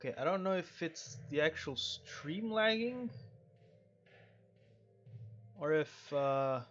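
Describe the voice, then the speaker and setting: Higher-voiced man streaming in muffled, lo-fi audio. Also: lively intonation, a little slow, fairly bright tone, soft background music. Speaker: game streamer. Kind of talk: casual livestream commentary on a visual novel. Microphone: headset microphone. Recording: dull lo-fi headset microphone.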